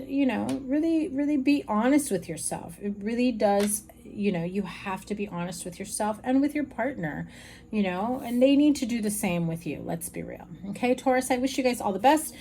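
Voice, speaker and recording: A woman with a slightly raspy voice giving a frank, chatty tarot reading straight to her viewers. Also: mid-range voice, dark tone, slight background noise. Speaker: tarot reader. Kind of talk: casual tarot reading to camera.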